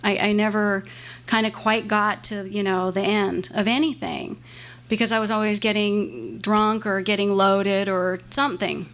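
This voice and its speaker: A woman in her forties from the San Fernando Valley, speaking at a meeting podium in a chatty, self-deprecating way with halting restarts. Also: mid-range voice, fairly bright tone, dull audio. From Los Angeles, San Fernando Valley. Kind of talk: personal talk at a meeting. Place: meeting room. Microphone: podium microphone. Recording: faint hiss and hum, live room tape.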